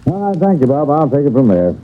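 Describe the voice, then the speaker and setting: An actor playing a cool, authoritative detective, dryly probing, in a 1970s radio mystery drama. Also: male voice, on the high side, very animated, fast. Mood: businesslike. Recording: dull, faintly noisy vintage radio broadcast.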